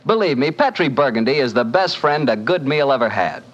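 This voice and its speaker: An American man works as a 1940s radio announcer and sponsor pitchman. His mid-pitched voice swings with lively intonation, in a folksy, enthusiastic selling style. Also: brisk, lo-fi sound.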